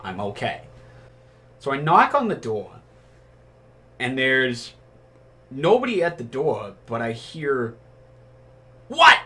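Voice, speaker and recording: A man's voice: medium pitch, wildly swooping pitch, takes it slowly, pauses often, pushed and bright. A man telling comic anecdotes casually and animatedly, acting out the dialogue and swearing crudely. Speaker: storyteller on a comedy chat show.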